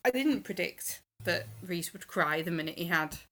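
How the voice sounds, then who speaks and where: A woman's voice: lowish voice, lively intonation, normal speed, sharp tone. A woman chatting casually on a podcast panel. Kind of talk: casual chat.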